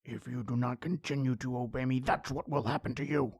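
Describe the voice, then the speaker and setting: Undead vampire tyrant, male actor: husky, gravelly, sneering and commanding, close dry sound, old-time radio horror. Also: mid-range voice, fairly even intonation, a little fast, darkish tone, no echo. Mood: menacing. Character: cruel, domineering undead vampire brother, menacing from his coffin.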